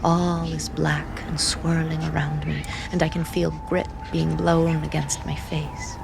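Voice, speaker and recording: An actress playing a grim, haunted seer who reads the dead, narrating in the first person with hushed, deliberate intensity. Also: female voice, low voice, little pitch movement, gravelly, noisy background, exaggerated voice. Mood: hushed, intense. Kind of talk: first-person dramatic narration in audio drama.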